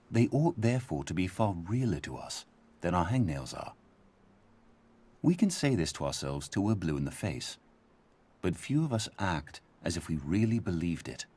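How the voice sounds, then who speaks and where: A man reading scripted philosophical essays in a measured, emphatic, husky voice, as a thoughtful narrator. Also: low voice, a little mellow, heavily acted. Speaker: voice actor reading quotations for game audio logs.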